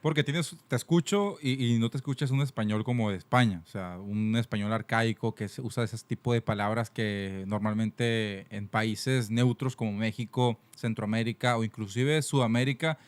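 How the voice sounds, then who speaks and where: A man's voice: fairly low pitch, moderate pace, few pauses, no room sound. A male podcast host in casual talk who fills in with short backchannels and asks long, rambling questions. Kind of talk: casual interview. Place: living in Montreal.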